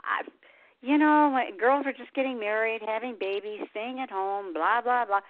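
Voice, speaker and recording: A woman's voice: on the high side, normal speed. An older woman hosting a radio show, chatting in an easy, flowing, confiding way. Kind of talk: casual interview chat. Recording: dry phone-line sound.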